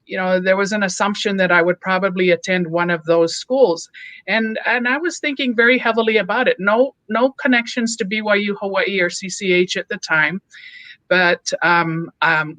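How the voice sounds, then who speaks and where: A woman from Samoa, a podcast guest over a video call, telling her story in an easy-going, warm way and laughing readily. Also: fairly low pitch, dry recording. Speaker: guest, alumna. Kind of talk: interview storytelling.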